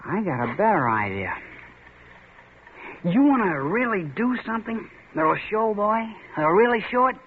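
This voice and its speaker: A man's voice: high-pitched, very animated, moderate pace. An actor playing a taunting, swaggering young man in a 1940s radio drama, needling his friend as a coward. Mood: coaxing. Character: taunting young male tough, Red. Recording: tinny lo-fi old radio broadcast with faint hiss.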